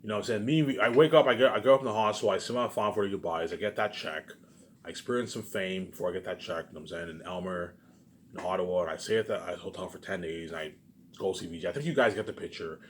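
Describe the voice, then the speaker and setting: Male online video maker, lowish voice, very quick delivery, looping unscripted rant that surges loud then sinks to a mutter. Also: a little hiss or hum. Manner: repetitive, circling back to same phrases; emphatic, swinging between loud bursts and low muttered asides. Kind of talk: rambling unscripted monologue to viewers.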